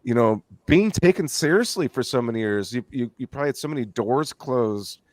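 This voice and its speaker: A male podcast host rambles through a heartfelt recap, restarting his sentences. His pitch is ordinary, mid-range. The sound is dry and close. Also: animated, brisk, no echo. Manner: rambling, appreciative, many restarts. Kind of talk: casual podcast chat.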